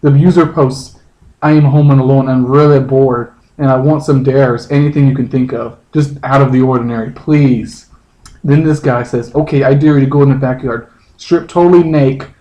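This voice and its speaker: A man co-hosting a comedy podcast, chatting casually and reading posts aloud in a hesitant, halting way. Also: mid-range voice, fairly even intonation, mellow, low-quality recording.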